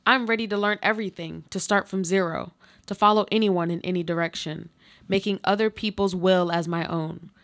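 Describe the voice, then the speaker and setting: A plaintive, injured woman trapped under rubble, played by an actress. Her mid-pitched voice carries resigned, earnest monologues in the poetic style of a literary radio play. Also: fairly bright tone, natural voice.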